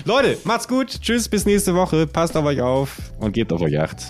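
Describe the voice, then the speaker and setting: A man's voice: on the high side, huge pitch swings, measured pace, faint music behind. A man co-hosting a podcast in casual comedic chat, telling things in a rambling, playful and theatrical way. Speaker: podcast co-host.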